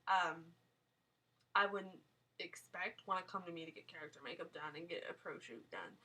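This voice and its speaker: A woman's voice: fairly low pitch, normal speed, bright, forceful tone, rough recording. A young woman with a nasal voice from a sinus cold, chatting casually while answering viewer questions on a live stream, rambling and laughing often. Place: home, small town.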